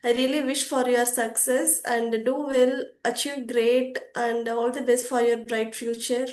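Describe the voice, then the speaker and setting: A woman, hosting a gentle, encouraging interview over a video call. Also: on the high side, level tone, unhurried. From India. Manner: gentle, laughs often, encouraging. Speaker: host. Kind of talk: conversational interview. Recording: echoey room.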